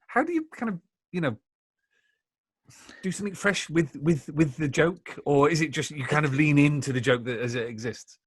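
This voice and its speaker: A man's voice: fairly high pitch, expressive, normal speed, slightly rough, no echo. Hesitant man interviewing, very dry sound. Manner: hesitant, circling towards his questions. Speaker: podcast interviewer. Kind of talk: casual interview questioning.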